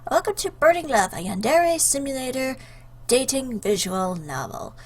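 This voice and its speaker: A woman hosting a casual let's play, commenting in an expressive, playful, joking way. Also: sits high, normal speed. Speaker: host, gaming YouTuber. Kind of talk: casual let's play commentary, reading game lines aloud.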